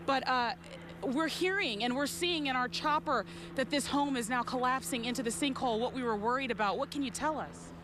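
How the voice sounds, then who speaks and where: A woman's voice: high voice, brisk. A woman field reporter delivering urgent, ad-libbed breaking news live from an outdoor street. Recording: clear outdoor street and vehicle noise. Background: helicopters and vehicles.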